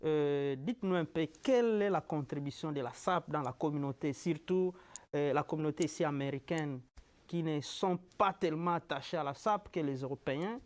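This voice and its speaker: A male TV host with a voice a bit higher than most men's. He runs a local studio interview, reading out passages and asking questions in a halting way, often repeating his words. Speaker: TV show host. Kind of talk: TV interview hosting.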